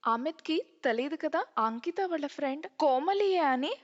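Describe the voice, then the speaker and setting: A woman's voice: sits high, lively intonation, normal speed. A woman narrating a scripted moral story for a cartoon. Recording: muffled, long hall reverb.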